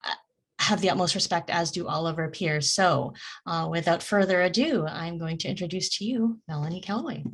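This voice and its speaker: A woman's voice: on the low side, fairly quick. Woman hosting a career webinar. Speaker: webinar host. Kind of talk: webinar introduction and moderation.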